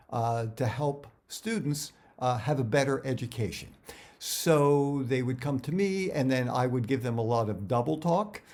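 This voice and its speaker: Middle-aged man, mid-pitched voice, casual advice to viewers, conversational, halting delivery, repeated words. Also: darkish tone. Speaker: actor giving career advice. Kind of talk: casual direct-to-viewer talk.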